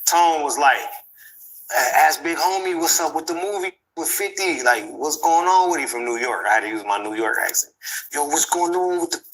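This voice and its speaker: A man's voice: on the high side, animated, normal speed, a bit bright, poor audio. A man being interviewed, telling a story in casual slang and acting out both sides of the conversation. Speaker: interview guest.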